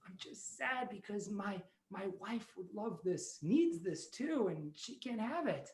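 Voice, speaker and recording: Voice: mid-range voice, animated, unhurried, darkish tone, roomy sound. A storyteller voicing a poor, kind-hearted old man in a children's story, breathless and wondering. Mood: sad.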